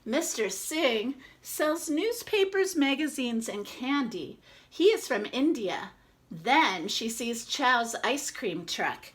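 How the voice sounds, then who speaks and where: A woman reading a picture book aloud to children, expressive, with casual chatty asides to explain it. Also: high voice, slow pace. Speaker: children's read-aloud presenter.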